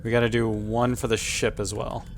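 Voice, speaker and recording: A man's voice: fairly low pitch, flat delivery, moderate pace, a little hiss or hum. A man chatting casually as a player in a tabletop role-playing game. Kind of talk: casual chat.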